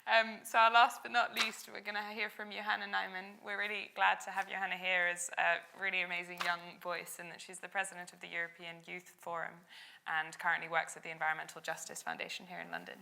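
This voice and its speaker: A woman's voice: on the low side, level tone, fast talker, vocal fry, pushed and bright, a little hiss or hum. A woman hosting a panel evening, upbeat, introducing the next speaker and laughing between remarks. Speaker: event host.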